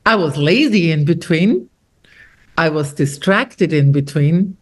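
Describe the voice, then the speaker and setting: A middle-aged woman from Austria, an author talking about her novel in an interview, animated and quoting others as she tells stories. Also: deep voice, slow pace. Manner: animated storyteller, quotes others with lively shifts. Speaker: author guest. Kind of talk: conversational interview.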